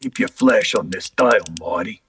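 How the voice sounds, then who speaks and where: A man playing a gruff safecracker in an old-time radio crime drama, with a husky, gravelly gangster delivery. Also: lowish voice, normal speed, a bit bright, faint music behind. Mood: eager, hushed. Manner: gruff, slangy gangster talk. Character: gruff, tense male safecracker crook.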